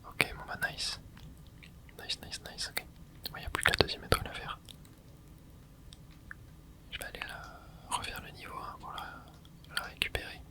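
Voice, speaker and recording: A host whispering casual ASMR commentary over gameplay, reacting excitedly and chatting to viewers. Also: moderate pace, long pauses. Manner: casual, chatty, self-correcting. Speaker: channel host.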